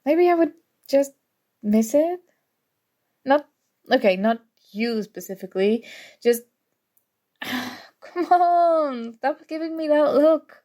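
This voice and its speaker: A woman's voice: sits high, expressive, slow pace, pauses often. A young woman acting in an ASMR audio roleplay as a prickly but grudgingly fond student, teasing and defensive. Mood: flustered, defensive. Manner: teasing, defensive, flustered, soft-spoken tsundere.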